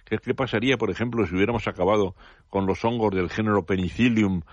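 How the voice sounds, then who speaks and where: A man's voice: lowish voice, fairly even intonation, quick pace, dry recording, highs cut off. An older man with a Spanish accent, explaining nature topics as a radio guest in a professorial, digressive way, with touches of humor. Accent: Spanish from Spain. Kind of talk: casual radio chat. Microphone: studio mic.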